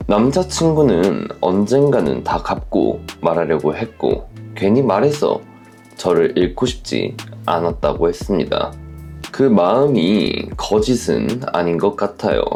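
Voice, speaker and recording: A man with a deep, creaky voice narrates listener stories and comments on them reflectively. His intonation moves widely as he puts measured questions to listeners. Soft music plays behind him. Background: background music.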